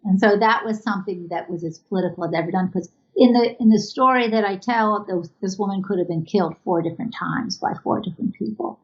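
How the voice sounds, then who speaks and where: A woman giving reflective, halting interview answers as an artist, recorded over an internet video call. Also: medium pitch, highs cut off.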